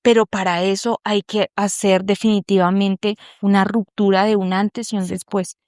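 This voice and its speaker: A woman's voice: mid-range voice, flat delivery, moderate pace, a little mellow, dry recording, studio quality. A woman speaking earnestly and reflectively over a video call, giving a personal faith testimony to an online group and searching for her words as she goes. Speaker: group member sharing a testimony.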